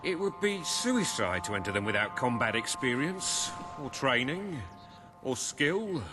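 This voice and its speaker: An actor playing a nervous, cautious soldier in a video game, with a hesitant, placating manner. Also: male voice, mid-range voice, very animated, unhurried, over music. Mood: nervous reluctance. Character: nervous, cautious male soldier making excuses.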